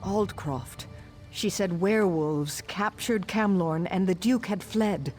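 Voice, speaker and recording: A woman's voice: mid-range voice, slow, darkish tone, lightly acted. An actress playing a reverent woman of a forest sisterhood, a worldly former soldier, speaking earnestly and formally in a fantasy video game. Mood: earnest, grave. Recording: music behind the voice.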